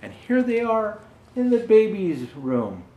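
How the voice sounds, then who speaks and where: An older man reading a children's picture book aloud, pausing to explain things gently to young viewers. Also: sits high, sing-song. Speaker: storyteller dressed as Santa Claus. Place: indoor room with Christmas decor. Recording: roomy indoor echo.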